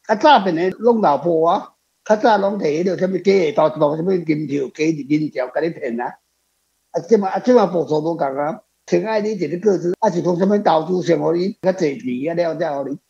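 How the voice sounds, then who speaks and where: A man's voice: on the high side, brisk, creaky, mellow, breathy edge, dull audio. A man with a Taiwanese accent chatting off the cuff as a guest author, rambling through anecdotes and repeating his phrases. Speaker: author guest answering questions. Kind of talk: unscripted conversational talk.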